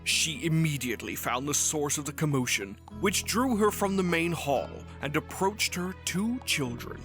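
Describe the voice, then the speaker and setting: A woman narrates a storybook tale in a low-pitched voice. Her delivery is scripted narration, with music playing clearly underneath. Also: expressive, moderate pace.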